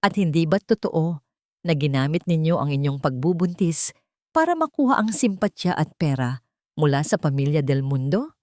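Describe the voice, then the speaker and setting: A woman's voice: low voice, expressive, normal speed, a little mellow, no room sound, clean studio sound. A woman voicing a merciless, cunning old male lawyer who questions in a pointed, accusing way. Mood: pressing.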